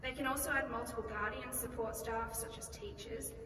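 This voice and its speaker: A woman with an Australian accent giving a rehearsed conference pitch, speaking in a clear and measured way and posing rhetorical questions. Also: steady tone. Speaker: company founder pitching. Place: large conference hall. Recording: echoey hall recorded from far off, noisy.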